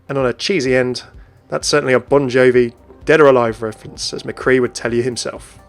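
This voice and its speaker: A man's voice: mid-range voice, steady tone, a little fast, soft background music. A man hosting a gaming video, narrating game lore in a chatty, upbeat way. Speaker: video host.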